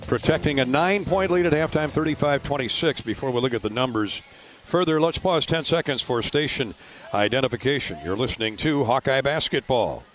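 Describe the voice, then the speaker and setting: A man hosting a sports radio broadcast, giving a halftime recap with frequent halting pauses. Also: medium pitch, a bit bright, soft background music. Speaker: sports radio play-by-play host.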